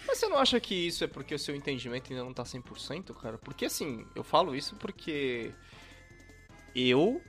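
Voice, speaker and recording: A man's voice: medium pitch, big pitch swings, a little fast, a bit bright. A man co-hosting a casual podcast, chatty and agreeable. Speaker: podcast co-host. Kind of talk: casual chat. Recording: faint music behind.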